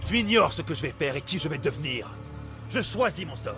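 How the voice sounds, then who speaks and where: A brooding greek warrior from a game, intense and defiant, pitch moves a lot, music under it. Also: male voice, sing-song, brisk. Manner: grim, resolute, intense. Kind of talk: dramatic video game acting.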